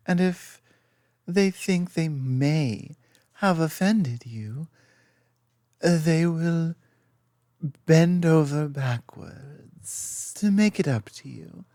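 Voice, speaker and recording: A woman playing a loving, gently dominant lamia girlfriend in an intimate ASMR roleplay, speaking softly and tenderly with hesitant pauses. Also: sits low, dry recording, studio quality, exaggerated voice. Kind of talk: ASMR roleplay, intimate one-sided conversation.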